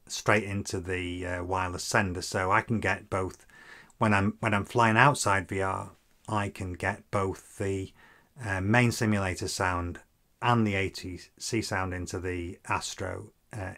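Low-voiced middle-aged man, halting tutorial delivery. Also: a bit bright. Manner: hesitant, halting delivery with frequent ums. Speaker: presenter of a flight simulator tutorial series. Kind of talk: informal software tutorial explanation.

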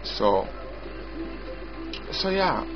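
A man giving an unscripted software walkthrough as its developer, explaining haltingly and repetitively in short phrases. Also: a little high, very animated. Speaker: software developer demonstrating own app. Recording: dull lo-fi audio with loud music. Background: loud music.

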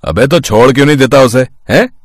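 A man's voice: mid-range voice, brisk, dry recording, clean studio sound, close to an everyday voice. A man voicing a teasing, mischievous village friend in a cartoon, brash and mocking.

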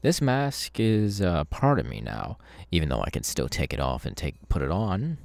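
A man plays a masked demon's henchman in a horror ASMR roleplay, sinister and chatty. The voice is low and gravelly, recorded dry and close. He rambles haltingly and takes his time, soothing and threatening at once. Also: sing-song, dry recording, exaggerated voice. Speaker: ASMR voice actor.

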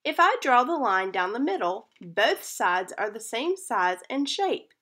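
Storybook mom, patient and gently teasing, lively voice, read by a woman. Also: fairly high pitch, unhurried, heavily acted. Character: patient, playful mother figure, adult woman.

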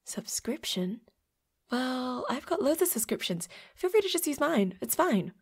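A woman's voice: high-pitched, very animated, a little slow, full character voice. A woman voicing a flirty, playfully predatory vampire roommate in an intimate audio roleplay, with a coy, teasing manner. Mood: friendly, playful. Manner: coy, giggly, teasing, drops into breathy whispers.

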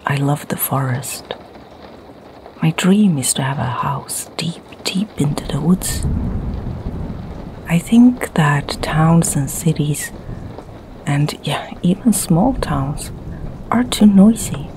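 A middle-aged woman from Sweden with a Swedish accent, whispering ASMR stories softly and slowly in her home library. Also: low voice, animated, background noise. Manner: soft, slow whisper. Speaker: ASMR creator and storyteller.

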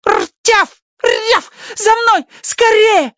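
A man's voice: very high voice, extremely slow, sharp tone, no echo, studio quality, voice pushed up, exaggerated voice. A man voicing an urgent, protective mother fox who growls and yaps between short commands in an audio story. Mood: urgent alarm.

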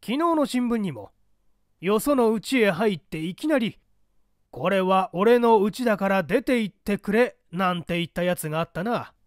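A hearty, pompous older official, acted by a male narrator, booms with amused scorn in an audiobook. The delivery swings widely in pitch and sits high for a man, in a dry, close recording. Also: animated, normal speed, no echo. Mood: amused, dismissive. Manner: grand, patronizing, booming. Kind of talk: audiobook character acting.

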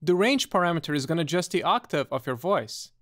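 A man presenting a step-by-step software tutorial in a methodical, explanatory way. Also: on the high side, expressive, fast talker, soft background music.

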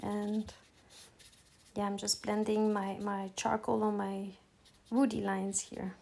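A middle-aged woman from Quebec whose first language is French, speaking English with a Quebec French accent as she calmly talks through her art process with many pauses and fillers. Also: medium pitch, gravelly. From Quebec, Canada. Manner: relaxed, hesitant, many fillers and restarts. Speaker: artist demonstrating her process. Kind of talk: casual voice-over tutorial.

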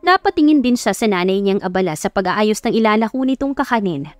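A woman's voice: medium pitch, lively intonation, quick pace, no echo, faint music behind. A woman with a Filipino accent reading a scripted story as an audiobook narrator.

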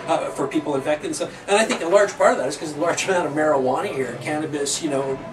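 A man's voice: a little high, fast talker. Easygoing shop owner man, echoey room. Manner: loose, with fillers like "like" and "you know". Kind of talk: casual interview answers. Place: small indoor shop. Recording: echoey room, distant mic.

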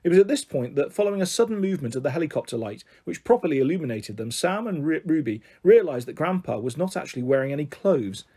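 Male audiobook reader for children, animated and slightly rough-edged. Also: on the high side, quick pace, few pauses. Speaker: storyteller reading a children's novel. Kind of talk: expressive storybook narration.

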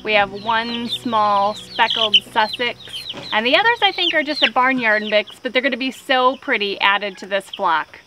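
A woman's voice: fairly high pitch, animated, normal speed, hardly any pauses. A woman hosting a homestead vlog, giving a casual update to camera outdoors beside a chicken pen. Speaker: homesteader and farm vlog host. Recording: clear outdoor noise, birds and chickens clucking.

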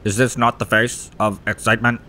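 A man's voice: on the low side, little pitch movement, a little fast, sharp tone. A man doing casual let's play commentary as host, wry and jokey, repeating phrases for effect.

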